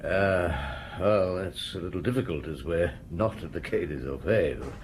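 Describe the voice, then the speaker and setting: Actor as deep-voiced, courteous police inspector. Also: male voice, normal speed, slight background noise, lightly acted. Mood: flustered, polite. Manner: courteous, hesitant, ruminative. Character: unhurried, probing French police inspector, courteous and wry. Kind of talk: audio drama acting, questioning and narrating.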